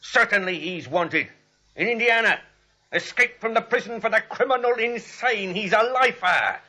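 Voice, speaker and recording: An actor playing a gruff, bossy police lieutenant, sarcastic and impatient, in 1940s radio comedy style. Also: male voice, high voice, normal speed, bright, forceful tone, exaggerated voice. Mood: sarcastic, brusque. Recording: dull vintage radio recording.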